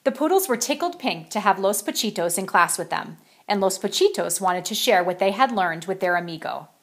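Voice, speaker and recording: A woman's voice: medium pitch, expressive, brisk, fairly bright tone. A woman reading a children's picture book aloud as a storyteller.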